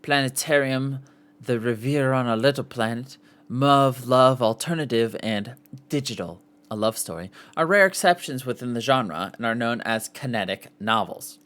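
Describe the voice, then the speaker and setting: A man with a mid-pitched voice reads written material aloud as a podcast host. His delivery is informal, with the odd off-the-cuff aside and self-correction as he works through the text. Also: expressive, moderate pace, a bit bright. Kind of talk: reading an article aloud with casual asides.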